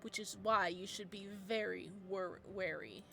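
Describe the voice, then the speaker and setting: A woman voicing a protective male living god in a game story, who speaks in a sharp, cold, clipped way. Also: mid-range voice, a little slow, creaky, faint music behind. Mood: angry.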